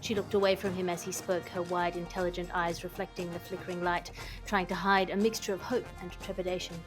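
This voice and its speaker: A woman's voice: fairly low pitch, level tone, a little fast, lightly acted. Woman putting on an overwrought period romance narrator, music behind. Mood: earnest, dramatic. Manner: earnest, florid, dramatic delivery. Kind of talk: mock romance audiobook narration.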